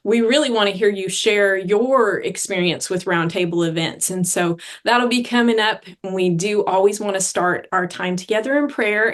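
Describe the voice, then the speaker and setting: A woman hosting a training session on a video call, introducing speakers in an upbeat way. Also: medium pitch.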